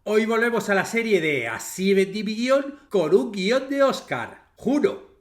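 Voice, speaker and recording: Male podcast host, Spain accent, high voice, informal and direct, presenting to listeners. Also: expressive, measured pace, music in the background. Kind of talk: podcast presentation with course promotion.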